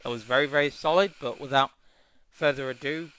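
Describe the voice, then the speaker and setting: A man's voice: medium pitch, fairly quick, sharp tone, clean tone. A young man casually reviewing a wrestling show, rambling with lots of fillers and restarts, with a tickly cough.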